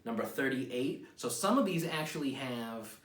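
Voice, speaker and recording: A man in his thirties chatting casually to camera as a writer, rambling in a halting, self-deprecating way. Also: mid-range voice, lively intonation, a bit bright, a little hiss or hum. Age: 30s. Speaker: writer promoting own poetry book. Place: small home office room, indoors. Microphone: camera a few feet away. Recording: roomy, distant from the mic.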